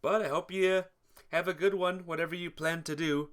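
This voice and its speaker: A man playing and reading a visual novel aloud in an expressive way while chatting to viewers. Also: on the high side, normal speed, smooth. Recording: music behind the voice. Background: game music.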